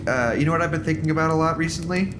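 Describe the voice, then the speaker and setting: A man acting a guilt-ridden research staffer in an audio drama, halting and troubled in a naturalistic style. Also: on the high side, heavy background noise, natural voice. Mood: hesitant, uneasy.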